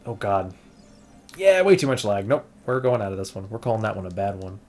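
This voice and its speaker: A man's voice: lowish voice, brisk, a little mellow. A man streaming an emulator test, chatting casually and rambling with many restarts, saying he is losing his voice. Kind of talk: casual gameplay stream chat.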